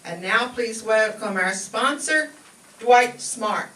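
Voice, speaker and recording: A woman's voice: fairly high pitch, animated, slow. A woman reading a scripted introduction as master of ceremonies. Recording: echoey hall, distant, noisy.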